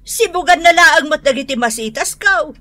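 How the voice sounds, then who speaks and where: Actress as older bossy matriarch, Ilocano accent, forceful highish voice, comedy radio drama, self-important. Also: female voice, lively intonation, normal speed.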